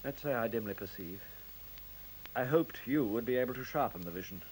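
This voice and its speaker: Guy acting earnest formal engineer, talks fast, radio drama, little bit of hiss. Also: mid-range voice, lively intonation, close to an everyday voice, poor audio. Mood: concerned. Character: polite, businesslike, earnest male computer engineer. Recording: faint hiss on radio play recording.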